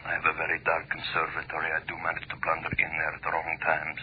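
A man's voice: rumbling low, completely flat delivery, quick pace. A man acting in a 1940s radio drama as the paranoid inner voice of a jealous husband, brooding and intense. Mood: suspicious brooding. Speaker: radio actor. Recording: dull, noisy old radio recording.

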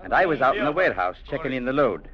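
An actor playing a steady, defiant company clerk, measured and matter-of-fact, narrating and acting in a 1950s radio drama. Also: male voice, mid-range voice, crowd noise, natural voice. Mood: grave narrating. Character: steady, defiant young company clerk telling his adventure. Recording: dull vintage radio broadcast, music behind.

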